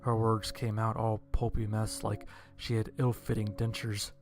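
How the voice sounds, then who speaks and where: A man's voice: on the low side, completely flat delivery, moderate pace, faint music behind. A man narrating a scripted first-person horror-comedy story in a dry, wry manner.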